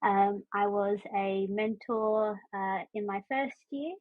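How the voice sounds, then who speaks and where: A woman's voice: medium pitch, takes it slowly, no room sound. A young woman from Australia with an Australian accent, a university student chatting through interview answers with frequent ums. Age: young adult. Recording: dry, tinny phone-like line.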